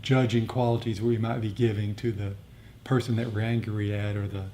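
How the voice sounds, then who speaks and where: A man with a soft, mellow voice gives a reflective dharma talk. He explains things haltingly, pausing to find his words as he answers a group. A low rumbling noise sits behind him. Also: level tone. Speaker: meditation teacher. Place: group meditation gathering.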